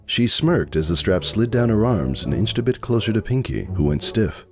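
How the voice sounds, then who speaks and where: A man's voice: lowish voice, lively intonation, moderate pace, music in the background. A man giving a scripted dramatic reading of a story as its narrator.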